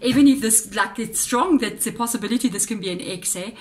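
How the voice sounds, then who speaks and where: A woman's voice: fairly high pitch, fast, soft background music. A South African woman reads tarot at a table, chatting to her viewers and thinking aloud as she turns each card. Her voice sounds slightly off today. The tone is casual and direct. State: voice a bit off, slightly unwell-sounding. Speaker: tarot reader. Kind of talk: casual tarot reading to camera. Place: indoors at a tabletop altar.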